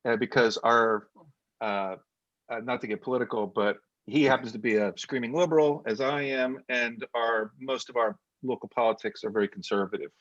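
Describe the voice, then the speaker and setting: A man with a Northeastern American accent talks in a loose, rambling way, hopping from one story to the next. The sound is dry and a bit dull. His pitch sits in an ordinary middle range. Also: fairly even intonation, normal speed, a bit bright, dry recording. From United States. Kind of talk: casual chat. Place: home studio in South Jersey.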